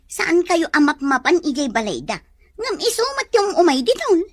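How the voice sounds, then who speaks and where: A woman's voice: very high voice, sing-song, normal speed, dry recording, full character voice. A woman playing a respectful, concerned household helper in an Ilocano drama. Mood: concerned, deferential. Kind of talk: Ilocano radio-style drama acting.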